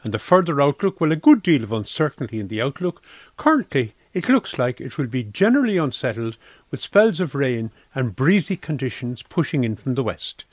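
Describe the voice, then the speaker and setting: A man's voice: medium pitch, sing-song, brisk, dry recording. A man with an Irish accent reading a scripted weather forecast for community radio.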